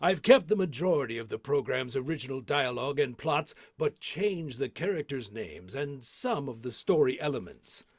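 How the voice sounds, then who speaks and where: Casting: male theater presenter, very lively intonation, showman-like scripted introduction, dry close sound. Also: mid-range voice, normal speed, hardly any pauses, no echo. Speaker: presenter and cast member.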